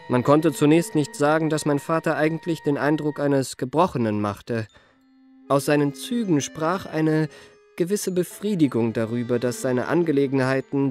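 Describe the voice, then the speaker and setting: An actor plays a young gentleman swindler in a literary radio play. His voice is mid-range, and he phrases everything in ornate, courteous, self-regarding sentences. He sounds cultivated and eloquent throughout. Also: medium pitch, close to an everyday voice. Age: young adult. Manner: ornate, elaborately polite, self-regarding diction. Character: eloquent, well-bred young male confidence man recounting his life.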